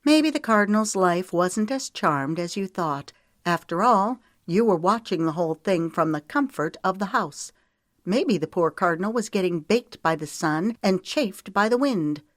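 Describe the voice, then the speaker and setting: Narrator voicing a stubborn, emotional woman, lifted pitch. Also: expressive, normal speed, no room sound, studio quality, lightly acted. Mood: defiant, pleading. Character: stubborn, emotional woman pleading for her freedom.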